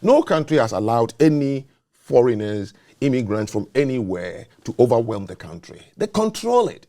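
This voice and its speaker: Animated, lecturing male talk host. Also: mid-range voice, normal speed. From not born in the US, naturalized citizen. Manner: emphatic, lecturing. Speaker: show host. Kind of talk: opinionated talk show discussion.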